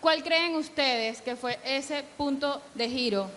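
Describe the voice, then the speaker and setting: A woman in the audience asking the panel a halting question. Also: high voice, a bit bright. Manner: halting, searching for words. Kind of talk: question from the floor. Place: large event hall. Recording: long hall reverb, faint background noise.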